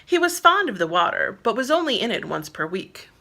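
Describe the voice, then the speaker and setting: A woman's voice: a little high, big pitch swings, fairly quick, sharp tone. A woman reading her own novel aloud as narrator, in scripted storybook style.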